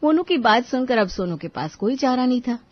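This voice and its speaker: A woman's voice: fairly high pitch, expressive, a little fast, no room sound, lo-fi sound. A woman narrating a scripted story as a storyteller.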